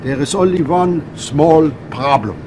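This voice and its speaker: An actor playing an eccentric elderly German physicist in a game, polite and scheming, speaking haltingly with a German accent. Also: male voice, on the high side, animated. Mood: sly, deliberate. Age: older. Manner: halting, deliberate, with verbal tags. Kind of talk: video game cutscene acting. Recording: noisy closed-circuit video feed.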